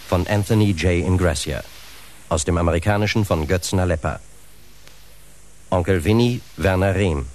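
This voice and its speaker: A man's voice: low voice, a little mellow. A man announcing titles and credits in a formal, scripted radio style. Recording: faint background hiss.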